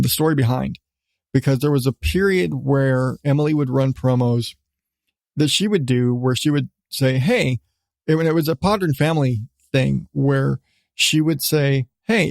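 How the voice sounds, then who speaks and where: A middle-aged man hosting a casual solo podcast, talking in a rambling, halting way with repeated restarts. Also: mid-range voice, gravelly, a little mellow, dry recording, studio quality. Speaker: podcast host.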